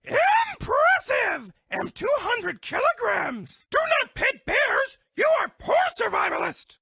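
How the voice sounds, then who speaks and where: A woman's voice: very high voice, huge pitch swings, slow, vocal fry, pushed and bright, no room sound, shouting, clean studio sound, full character voice. A woman voicing a sweet, dramatic, lovestruck female bear in a cartoon dating game, speaking broken English in a gushing way. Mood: playful, gushing.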